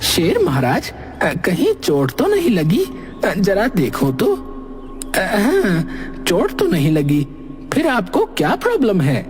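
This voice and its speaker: An actor voicing a playful, dramatic male talking animal in a Hindi cartoon, with exaggerated, drawn-out expression. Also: medium pitch, huge pitch swings, soft background music. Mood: startled, fussy. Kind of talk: Hindi cartoon character dialogue.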